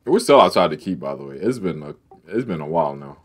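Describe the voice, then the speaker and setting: Dude streaming a game, real low voice, slangy trash talker, just chatting away. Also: male voice, sing-song, fast. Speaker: gaming streamer. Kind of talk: casual chat over gameplay.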